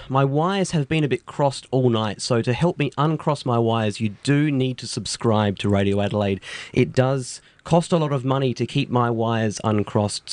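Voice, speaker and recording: A man's voice: medium pitch, a little mellow, dry recording. Male newsroom voice, close and dry. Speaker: radio newsroom contributor. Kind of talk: comic radio banter and announcement. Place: radio station.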